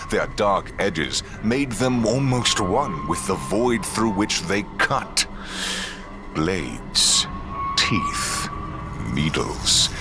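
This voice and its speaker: Deep, ominous male sci-fi narrator. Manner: ominous, solemn. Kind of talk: dramatic scripted sci-fi audio drama narration. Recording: loud music under the voice. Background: cinematic music.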